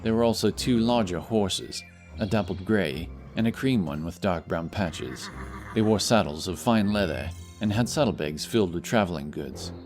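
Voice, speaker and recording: A man's voice: deep voice, normal speed, a little mellow. A man reading scripted fantasy narration dramatically, with music playing behind him.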